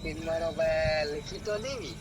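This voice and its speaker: A man talks casually on a phone call in a high voice with a Saurashtra Gujarati accent. The call is heard outdoors amid loud insect and vehicle noise. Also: a little slow. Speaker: singer receiving a phone call.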